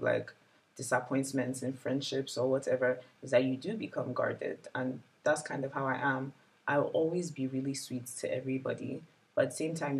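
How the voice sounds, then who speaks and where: A woman's voice: very deep voice, darkish tone, rough recording. A woman in her twenties chatting casually and reflectively to her vlog audience, with many "like" fillers. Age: 20s. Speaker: vlogger. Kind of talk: casual year-in-review chat.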